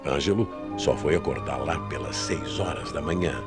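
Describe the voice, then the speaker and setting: A man's voice: extremely low pitch, normal speed. A man with a Brazilian accent narrating a scripted radio mystery drama in a dramatic storytelling manner. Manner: dramatic storytelling with rhetorical questions. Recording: radio narration over prominent music.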